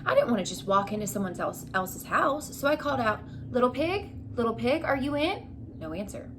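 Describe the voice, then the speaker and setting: A woman's voice: fairly high pitch, very animated, brisk, a bit bright, a little hiss or hum, pitched above the performer's own voice. A woman voicing a chatty, indignant male storybook wolf who protests his innocence in an emphatic, confiding way. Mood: animated storytelling.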